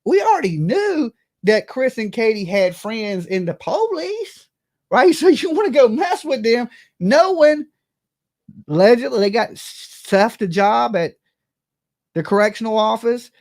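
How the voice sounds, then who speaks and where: Brash, profane livestream host, mid-pitched voice. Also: sing-song, measured pace, darkish tone. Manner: brash, profane, tags statements with "okay?" and "right?". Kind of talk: casual livestream commentary, gossipy chat.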